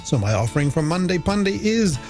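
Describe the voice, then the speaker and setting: Man, late-night radio host, playful flowery patter, animated intonation, crackly fry, studio mic, music underneath. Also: on the high side, brisk. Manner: playful, flowery patter with punchy alliterative wordplay. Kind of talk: late-night music radio presenting, reading out listener messages. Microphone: studio microphone on a stand.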